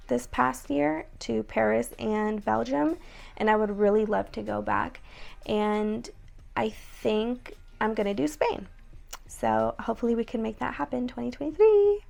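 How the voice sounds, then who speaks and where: A young woman with a raspy voice talking casually to her viewers, chatty and full of fillers. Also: a little high. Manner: chatty, many likes and ums. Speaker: vlogger. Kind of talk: casual vlog talk to camera.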